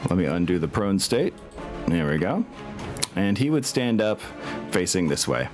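A man's voice: sits low, very animated, moderate pace. A man talking casually as game master of a tabletop wargame. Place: indoor room, online group game stream. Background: music.

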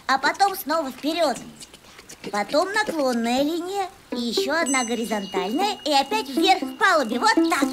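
A performer voicing a cheerful, helpful little boy rabbit who explains drawing clearly in a children's cartoon, in a friendly, instructive way. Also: high voice. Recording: loud music behind.

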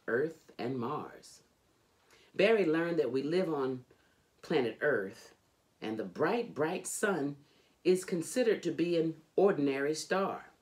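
A middle-aged woman reading a children's book aloud in an expressive, deliberate, emphatic storytelling manner. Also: deep voice. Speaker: storybook reader. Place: indoors, furnished home room.

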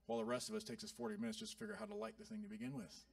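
A male pastor in his thirties giving an animated, joking sermon to his congregation. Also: high voice, very fast, vocal fry, no echo, far from the mic. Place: church sanctuary.

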